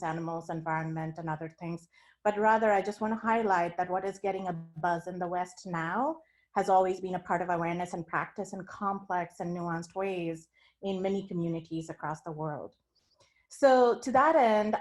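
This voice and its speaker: A woman from India giving an academic keynote talk over a video call, speaking in an earnest, reflective way. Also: mid-range voice. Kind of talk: academic talk sharing her screen.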